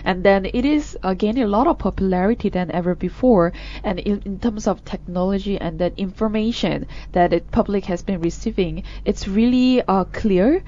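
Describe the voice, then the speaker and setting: A woman's voice: mid-range voice, dark tone, muffled recording. Woman with a Korean accent co-hosting news. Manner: halting, with many fillers and restarts. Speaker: co-host of a news discussion show. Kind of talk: casual news discussion.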